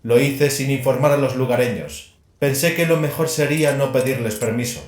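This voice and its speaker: A man voicing an eccentric, detached machine-augmented adept in an audio drama, halting and clinical in his talk. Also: mid-range voice, echoey room, pitched above the performer's own voice, natural voice. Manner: halting, clinical, mechanically repeats procedural words.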